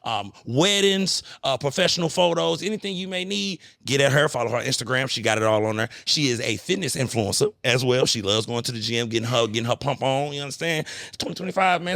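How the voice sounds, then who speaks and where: A man hosts a podcast and talks quickly, with a gravelly, bright edge to his voice. He chats casually in slang and keeps hyping up his guest. The sound is dry and close. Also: a little high, no room sound. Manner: laid-back, slangy, hypes up his guest. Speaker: podcast host. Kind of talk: casual chat. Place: cigar lounge.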